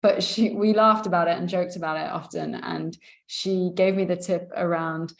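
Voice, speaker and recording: Podcast host: British woman, voice a touch low, chatting through interview questions and reflections. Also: fairly even intonation, moderate pace, creaky. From England.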